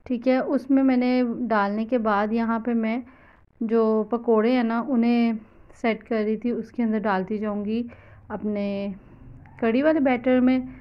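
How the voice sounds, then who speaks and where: A somewhat high-voiced woman with a Pakistani Urdu accent, explaining a recipe in an easygoing, chatty way. Her speech is loose and full of small asides, with English words slipped in. The sound is a little dull and lo-fi, taken on a phone in a home kitchen. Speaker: cooking vlogger. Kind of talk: casual cooking voice-over.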